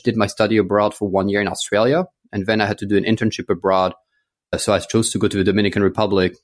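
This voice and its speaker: A man in his thirties who grew up in France speaks in a fairly low voice. He chats informally and talkatively as a guest, getting livelier when he tells a story. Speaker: interview guest. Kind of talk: casual conversation.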